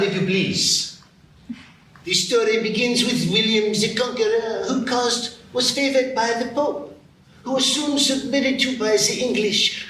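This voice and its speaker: A man's voice: sits high, pushed and bright, very echoey, far from the mic, a little hiss or hum, poor audio. A man playing a pompous, know-it-all mouse in a live radio play. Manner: self-important, lecturing storyteller.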